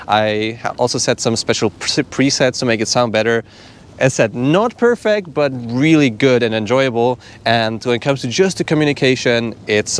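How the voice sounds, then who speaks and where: A man's voice: mid-range voice, smooth. A man giving a casual, chatty product demonstration as a vlogger.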